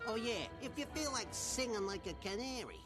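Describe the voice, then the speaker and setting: A sly schoolyard bully from a cartoon, played by a woman, talking in threats and slang. The pitch moves about a great deal, and music plays clearly behind. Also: expressive, brisk, lightly acted. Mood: menacing.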